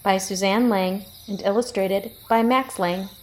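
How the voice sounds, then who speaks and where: A woman reading a children's picture book aloud in an expressive storytelling style. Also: mid-range voice, measured pace.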